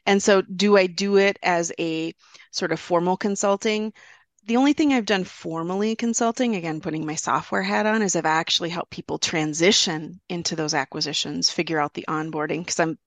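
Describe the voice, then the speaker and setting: A woman's voice: fairly low pitch, steady tone, brisk, no echo. A woman guest on an interview show, talking through business acquisitions in a conversational, explanatory way. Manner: explanatory, thinks aloud with restarts.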